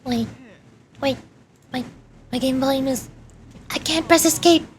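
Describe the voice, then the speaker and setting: A woman doing casual livestream commentary as a game streamer, expressive and playfully dramatic. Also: high voice, a little slow, a little hiss or hum.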